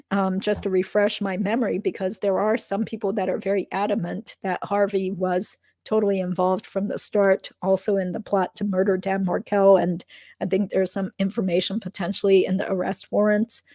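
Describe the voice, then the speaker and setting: A woman hosting a legal commentary livestream, chatting casually with fillers and asides. Her voice is slightly croaky. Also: mid-range voice, dark tone, no room sound.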